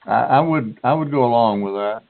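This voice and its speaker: Man, mayor, medium pitch, measured and halting, deliberative, tinny phone audio. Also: creaky, a little hiss or hum. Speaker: mayor on a committee. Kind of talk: deliberative meeting talk. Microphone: telephone. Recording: tinny conference phone line.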